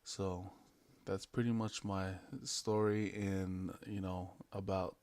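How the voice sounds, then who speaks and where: A man's voice: low voice, little pitch movement, slow pace, darkish tone, no room sound. A man in his late twenties from American Samoa and Hawaii, talking in a laid-back, hesitant, rambling way in an unscripted vlog. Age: late 20s. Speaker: vlogger. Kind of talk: casual unscripted vlog chat.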